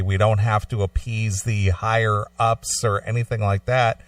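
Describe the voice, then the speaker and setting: A man hosting a casual talk show, speaking in a measured way with frequent pauses and fillers. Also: mid-range voice, no room sound, far from the mic. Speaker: host of a long-running radio show.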